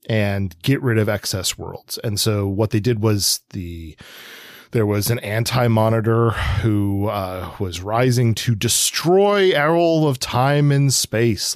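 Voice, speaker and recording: A man's voice: lowish voice, takes it slowly, dry recording, studio quality. A man co-hosting a podcast, explaining things casually with wry asides and rambling digressions. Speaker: podcast co-host.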